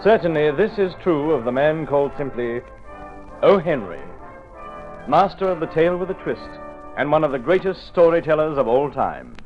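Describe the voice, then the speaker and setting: A man's voice: on the high side, animated, normal speed. A man announcing a programme in a grand, declamatory vintage radio style. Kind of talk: vintage radio scripted introduction. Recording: dull old radio recording over music.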